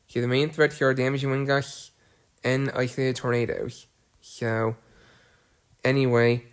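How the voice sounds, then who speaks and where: A man's voice: mid-range voice, vocal fry, clean tone. A man with a cold, sounding congested, giving a severe weather update and reading out long lists of areas with some restarts. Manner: plodding list-reading with restarts. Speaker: weather video host. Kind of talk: casual weather briefing.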